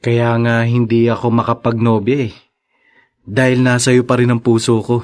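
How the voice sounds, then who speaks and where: An actor playing a gentle, devoted young Filipino man courting his old love in a radio drama, courteous and a little hesitant. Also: mid-range voice, completely flat delivery, normal speed, lightly acted. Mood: loving.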